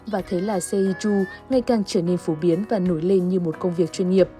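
A woman's voice: lowish voice, fairly even intonation, moderate pace, dark tone, faint music behind. A woman reading scripted narration for a television news report.

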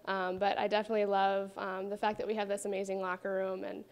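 A young woman with a flat, level delivery. She speaks thoughtfully and haltingly, with many pauses and fillers, into a handheld mic. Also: medium pitch, clear, resonant tone. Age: 20s. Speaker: college softball player.

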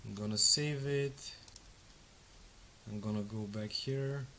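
A man in his thirties, a native Romanian speaker, casually walking through a software tutorial step by step with frequent ums and uhs. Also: on the low side, slow pace, a little hiss or hum. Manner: casual, explains step by step with fillers. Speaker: software demo presenter. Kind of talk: screen-recorded tutorial walkthrough.

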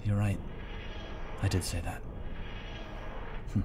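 A man's voice: deep voice, little pitch movement, slow pace, very noisy. A man playing an eccentric, troubled but resolute time-traveller in an audio drama, with a hesitant way of talking. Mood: grave, worried.

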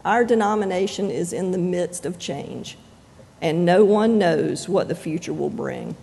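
A pastor preaching a sermon in measured, deliberate phrases with frequent pauses. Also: medium pitch, animated, gravelly, mellow. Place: church sanctuary. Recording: long reverb of a church sanctuary.

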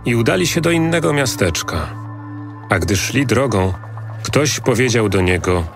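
A man's voice: mid-range voice, expressive, moderate pace, gravelly, over music. A man narrating a scripted, dramatized audio Bible.

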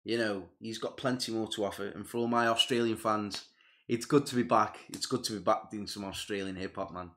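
A young man reacts to music over a headset, his voice sitting on the low side. He talks in an easygoing, enthusiastic way and settles into a lower, earnest register when the subject turns serious. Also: steady tone, a little fast, a bit bright. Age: young adult. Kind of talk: casual reaction commentary. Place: small indoor room with gaming chair and purple lights.

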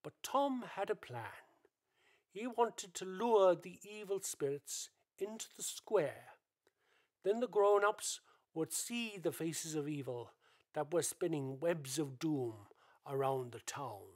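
Slow, high-voiced male storyteller. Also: animated, a little mellow. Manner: deliberate, dramatic storytelling. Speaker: narrator. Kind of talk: scripted radio play narration.

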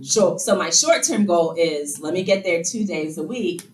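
A woman's voice: on the low side, animated, measured pace, roomy sound, slight background noise. A woman presenting a workshop in a meeting room in a conversational way, full of rhetorical questions and fillers, recorded from far off. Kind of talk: casual workshop lecture. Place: meeting room, in front of a screen. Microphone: far room camera.

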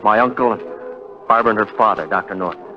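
A man's voice: fairly low pitch, fast, faint music behind, close to an everyday voice. An actor playing a bitter, self-pitying, paranoid young man in a 1940s radio melodrama, sarcastic and repeating himself. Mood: paranoid, agitated. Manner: sarcastic, muttering repeats, dramatic. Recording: dull vintage 1940s radio recording.

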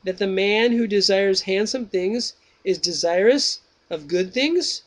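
A man's voice: high-pitched, voice pushed up. Socrates, a man reading as a patient questioning sage. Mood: probing. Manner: slow, deliberate reading of each question. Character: Socrates, patient older male philosopher questioning a pupil.

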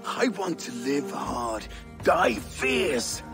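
An actor voicing an older, weary but rekindled Viking warrior in a video game, measured and solemn. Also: male voice, mid-range voice, big pitch swings, over music. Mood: reflective resolve. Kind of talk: dramatic video game acting.

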